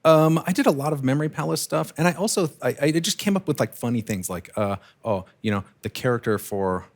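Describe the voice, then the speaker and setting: A man's voice: medium pitch, animated, fairly quick. A man in his thirties, a startup founder, talking casually with many fillers and restarts in an interview.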